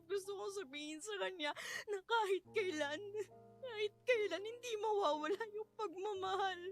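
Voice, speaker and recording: A woman acting a grief-stricken, guilt-ridden young widow in a radio drama, crying and pleading in a Filipino accent. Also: extremely high pitch. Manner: tearful, pleading delivery with repeated broken phrases. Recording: studio voice over prominent dramatic music.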